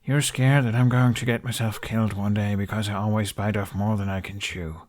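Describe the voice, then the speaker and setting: A man's voice: on the low side, fairly even intonation, a little fast, darkish tone, lightly acted. A man voicing a self-deprecating young man in an audiobook, downcast, wry and given to mumbling. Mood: resigned.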